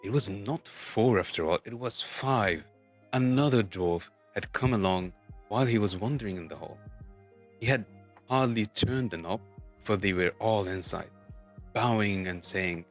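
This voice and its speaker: A man reading a fantasy storybook aloud as a streamer, halting and often stumbling and repeating words. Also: lowish voice, faint music behind. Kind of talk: amateur dramatic storybook narration.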